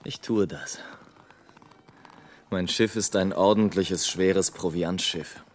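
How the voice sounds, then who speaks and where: An actor playing a sardonic, world-weary airship traveller, narrating in measured literary style in a radio play. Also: male voice, low voice, a little hiss or hum. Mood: exalted, scornful.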